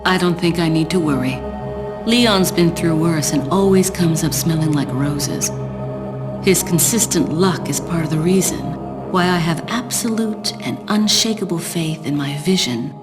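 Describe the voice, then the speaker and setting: A woman's voice: lowish voice, measured pace, over music, close to an everyday voice. A woman voicing a cool, self-assured female spy in a video game cutscene, composed and slyly teasing. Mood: composed, confident.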